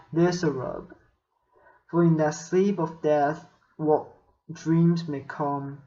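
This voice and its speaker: Male actor as melancholy Shakespearean prince, pensive soliloquy, slow measured delivery, dull lo-fi recording. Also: fairly high pitch.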